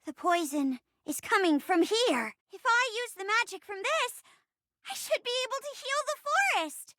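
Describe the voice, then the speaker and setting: A woman's voice: very high voice, lively intonation, normal speed, bright, forceful tone, no echo, heavily acted. A woman voicing a small, earnest, plucky young female forest sprite in a video game, speaking in grand, melodramatic mock-archaic phrases. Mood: excited, triumphant. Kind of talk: theatrical fantasy role-play in a video game.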